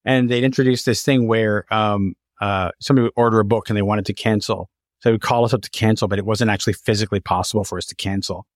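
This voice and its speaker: A man hosting a casual interview, talking in an animated, rambling way and often stammering or repeating words. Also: on the low side, fast, no echo, clean studio sound. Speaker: interviewer, podcast host.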